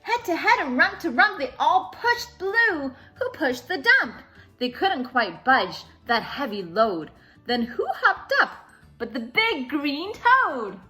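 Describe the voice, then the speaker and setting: Animated, high-voiced woman reading to children. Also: takes it slowly, faint music behind. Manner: animated, sing-song storytelling. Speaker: children's story time host. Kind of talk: expressive children's picture-book read-aloud.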